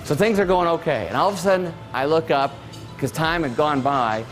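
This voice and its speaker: A man in his late forties delivering a motivational keynote, talking in a loose, conversational storyteller's way. His voice sits a touch high for a man, with faint music underneath. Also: a little fast. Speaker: keynote speaker.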